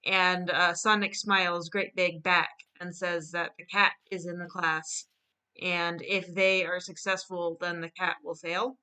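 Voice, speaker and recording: A woman co-hosting a casual chat, retelling a story in a halting, amused way. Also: fairly low pitch, level tone, bright, forceful tone, clean tone. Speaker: co-host recapping a drawn story.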